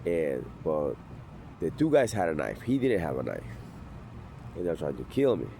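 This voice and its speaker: A man's voice: extremely low pitch, very animated, normal speed, vocal fry, dark tone, a little hiss or hum. A man, telling a personal sobriety story outdoors in a blunt, intense, street-tough way. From Venezuela. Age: 40s. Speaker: sobriety vlogger.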